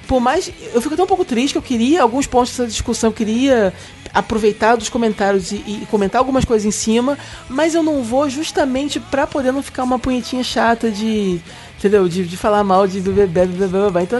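Fast-talking rambling Brazilian podcast co-host. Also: male voice, extremely high pitch, darkish tone, faint music behind. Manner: fast, rambling, stammering restarts. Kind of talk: casual podcast banter.

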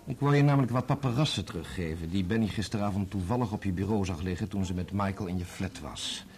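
An actor playing a wronged, bitterly sarcastic gentleman with a pointedly polite manner in a Dutch radio play. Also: low-pitched, fast, a little hiss or hum. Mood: bitter, accusing. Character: wronged, bitterly sarcastic gentleman defending his family's name.

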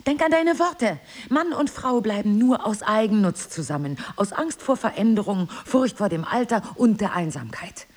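Husky-voiced, wounded, bitter wife played by an actress. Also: female voice, mid-range voice, animated, normal speed, few pauses, natural voice. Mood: bitter, yearning. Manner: intense, emphatic, theatrical phrasing. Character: wounded, bitter, jealous wife, drinker, ageing and proud. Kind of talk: intimate stage-play dialogue and confessional monologue.

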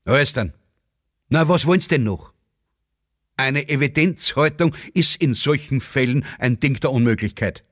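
A man's voice: mid-range voice, lively intonation, unhurried, a bit bright, no room sound, clean studio sound. A man voicing an impatient, dismissive Austrian captain in a satirical radio play. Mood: exasperated. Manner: brusque, exasperated.

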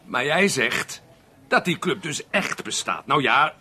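Slow, tipsy, aggrieved rambler, sharp-voiced. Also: male voice, mid-range voice, animated, husky, exaggerated voice. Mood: tipsy, grumbling. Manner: rambling, halting, grumbling. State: drunk (acted). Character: tipsy, aggrieved unemployed man rambling at a bar. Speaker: radio drama actor. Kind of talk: Dutch radio play monologue and dialogue.

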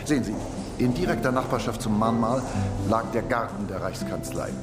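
An elderly gentleman guiding listeners in a radio drama, played by a man. His fairly low voice explains things in a roomy echo, over loud music. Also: fairly quick, lightly acted. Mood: solemn explaining. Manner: expository, pointing out sites. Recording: echoey, music loud underneath.

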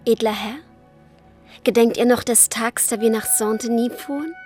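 A woman's voice: on the high side, steady tone, moderate pace, darkish tone, faint music behind, natural voice. An actress playing a devoted, lovestruck young medieval woman in a German radio drama, earnest and yearning.

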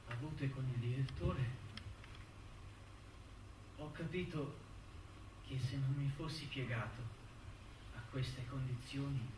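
An actor playing a wounded young man committed to an asylum by his family, speaking in deliberate, theatrical phrases with pauses. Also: medium pitch, noisy background, natural voice. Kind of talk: stage monologue acting. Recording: roomy distant stage recording with music. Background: music.

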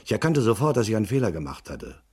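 An actor playing a hardboiled, controlled investigator in a vintage German radio crime drama, measured and deliberate. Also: male voice, fairly low pitch, very animated, darkish tone, breathy edge. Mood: tense narrating.

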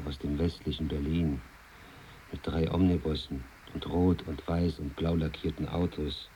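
A male narrator with a low, dark voice delivers a literary monologue. He tells it like a villager's account in long, measured phrases, over faint background noise. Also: low-quality recording.

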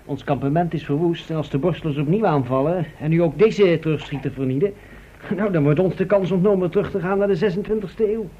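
Actor as wordy future travel agent, higher voice, muffled. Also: male voice, fast talker, dark tone, natural voice. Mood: concerned, explaining. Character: talkative, courteous male travel agent from the future. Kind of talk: vintage Dutch radio drama acting. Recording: muffled old radio recording, faint hiss.

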